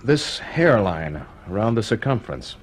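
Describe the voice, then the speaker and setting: A man playing a keen, restless young student in a radio drama, talking informally in excitable, slightly hesitant bursts. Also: on the low side, unhurried. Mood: eager, excited. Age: young adult. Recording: faintly noisy radio drama recording.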